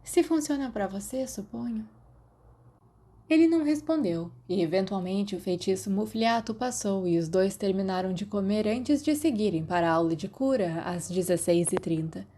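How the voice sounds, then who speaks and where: A woman's voice: medium pitch, expressive, moderate pace, few pauses, dark tone. A woman with a Brazilian accent reading scripted fiction as an audiobook narrator. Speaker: podfic narrator.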